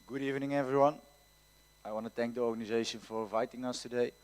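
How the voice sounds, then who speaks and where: A man's voice: medium pitch, fairly even intonation, fast, a little mellow, low-quality recording. A man, a youth football coach, introducing himself earnestly at a press conference.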